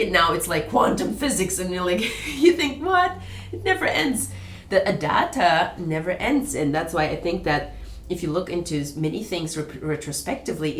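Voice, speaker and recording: A woman's voice: fairly low pitch, reverberant, a little hiss or hum. A woman hosting an online discussion room, talking casually, rambling with restarts and laughs.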